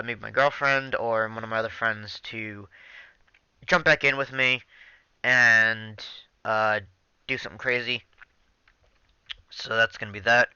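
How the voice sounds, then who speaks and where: A man's voice: lowish voice, pushed and bright, smooth, dry recording, highs cut off. A young man casually talking over his gameplay into a headset mic, rambling with many ums and restarts. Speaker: gaming channel host.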